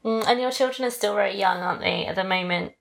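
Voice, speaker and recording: A British woman hosting a conversational interview, gentle and affirming, with frequent soft murmurs of agreement. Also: mid-range voice, quick pace, vocal fry. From Britain. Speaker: podcast host.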